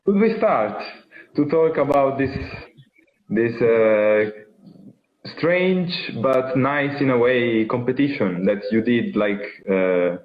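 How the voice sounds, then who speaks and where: Young Italian male host, unhurried, hesitant delivery, muffled video-call sound. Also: mid-range voice, animated. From Italy. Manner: hesitant, friendly, halting phrasing with many fillers. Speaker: interviewer, bassoonist. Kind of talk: live-streamed interview. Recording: dull lo-fi video call audio.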